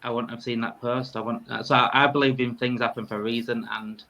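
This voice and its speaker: A man's voice: mid-range voice, flat delivery, brisk, sharp tone. Yorkshire man chatting casually. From Yorkshire, England. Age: 30s. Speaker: podcast guest, fan podcaster. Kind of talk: casual conversation.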